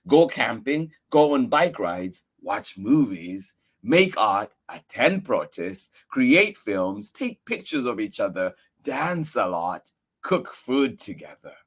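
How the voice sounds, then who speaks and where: A man reading a picture book aloud for children, expressive and earnest, with emphatic delivery. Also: mid-range voice.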